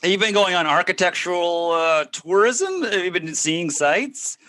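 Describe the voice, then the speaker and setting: A man with a high voice that swoops around a lot co-hosts a comedy podcast over a video call. He jumps in with playful questions and laughs often. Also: lively intonation, normal speed, pushed and bright. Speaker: co-host.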